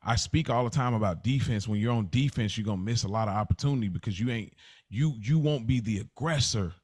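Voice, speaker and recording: A man's voice: fairly low pitch, fast, darkish tone, slightly rough, no echo, clean studio sound. A man co-hosting a podcast in casual, slangy conversation. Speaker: podcast co-host.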